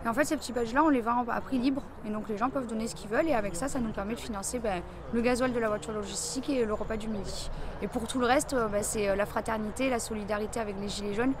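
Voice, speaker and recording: A woman's voice: on the high side, fast, a crowd in the background. A woman casually explaining, eager and chatty, outdoors by a road. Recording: noisy outdoor roadside recording.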